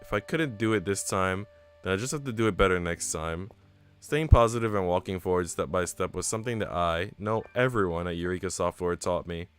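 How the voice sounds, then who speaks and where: A man's voice: sits low, fairly quick, close to an everyday voice. A man reading a visual novel aloud as an earnest, reflective young woman who hosts a radio show. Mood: self-critical, uneasy. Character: earnest, self-doubting young woman radio host in love. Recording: music behind the voice.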